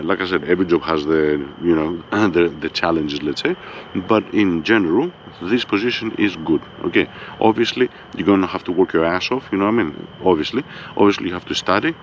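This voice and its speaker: Low-voiced Greek man, casual and monotone. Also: lo-fi sound, a little hiss or hum. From Greece. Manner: laid-back, swears freely, keeps saying "you know what I mean". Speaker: vlogger. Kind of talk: casual monologue.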